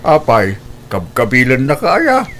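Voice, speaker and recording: A man's voice: mid-range voice, huge pitch swings, slow, a bit bright, slight background noise, heavily acted. A man voicing an elderly, frugal father in an audio drama, advising and admonishing. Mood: concerned.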